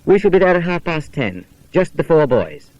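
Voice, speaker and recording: A man voicing a keen, polite schoolboy who leads child detectives, with a British accent and brisk old-fashioned storybook speech. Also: on the high side, big pitch swings, darkish tone, no echo, voice pushed up, rough recording. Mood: decisive.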